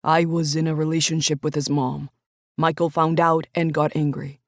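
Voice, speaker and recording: Woman reading young male coach, subdued, regretful, quietly guilty. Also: sits low, flat delivery, moderate pace, dry recording, clean studio sound. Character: troubled young adult man, a guilty football coach. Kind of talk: audiobook character voice.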